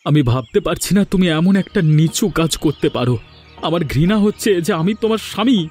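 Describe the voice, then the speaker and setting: A man's voice: high voice, expressive, a little fast, a little mellow, slightly rough, soft background music. A man voicing an aggrieved, reproachful village farmer and husband in a dramatic cartoon style. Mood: disgusted, angry. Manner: dramatic, lamenting. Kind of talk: Bengali cartoon voice acting.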